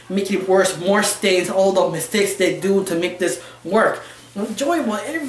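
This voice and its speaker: A woman giving a casual, opinionated review, rambling and halting, with non-fluent English phrasing. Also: mid-range voice, a little hiss or hum. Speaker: cartoon reviewer. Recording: roomy, echoey sound.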